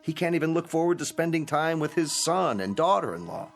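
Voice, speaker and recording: A man's voice: a little high, sing-song, soft background music. Patient, reasonable male administrator. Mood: patient, reasoning. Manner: patient, measured, placating. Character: calm, reasonable male manager of an old folks' home. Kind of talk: radio drama acting.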